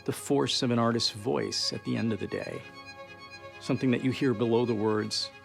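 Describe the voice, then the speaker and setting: A man of middling pitch with noticeable fry in his voice. He speaks reflectively, pausing mid-sentence as he thinks, with faint music behind him. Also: fairly even intonation. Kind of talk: reflective documentary interview.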